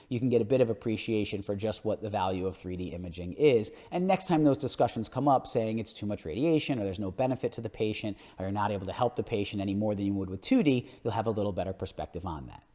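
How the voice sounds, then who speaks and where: A man's voice: mid-range voice, darkish tone. A man speaking as an orthodontist coach, giving an explanatory clinical lecture in an emphatic, informal, opinionated way.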